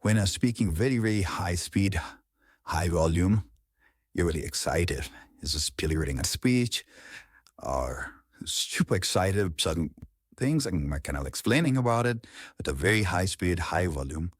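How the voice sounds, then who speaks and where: A man's voice: low voice, expressive, normal speed, no room sound, clean studio sound. A man speaking as a coach, giving an informal, explanatory lesson on public speaking.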